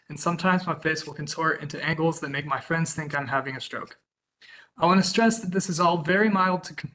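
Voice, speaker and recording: A young man in his twenties from Kansas gives earnest, prepared testimony over a video call. His voice sits a little high for a man and has a bright, forward edge. He reads steadily from a written statement. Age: 20s. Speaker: witness giving testimony.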